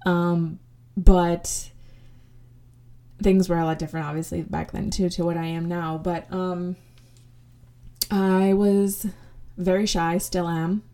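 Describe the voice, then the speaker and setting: Young woman podcast host, spanish was her first language, mellow lowish voice, lots of ums. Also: little pitch movement, takes it slowly, pauses often. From United States. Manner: reflective, many fillers and restarts. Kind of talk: casual personal storytelling.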